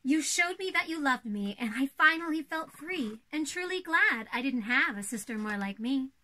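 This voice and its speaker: A woman's voice: high-pitched, expressive, moderate pace, sharp tone. A young woman playing an elegant, proper older-sister princess in a children's storybook read-aloud, theatrical and poised. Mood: fond. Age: young adult.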